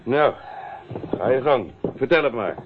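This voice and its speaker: A man's voice: medium pitch, lively intonation, a little slow, natural voice. Sardonic police chief played by a man, noisy narrowband. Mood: brusque, sceptical. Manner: dry, sarcastic. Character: sardonic male police detective chief, brusque, sceptical. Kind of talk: radio drama acting. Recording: noisy, phone-like old radio recording.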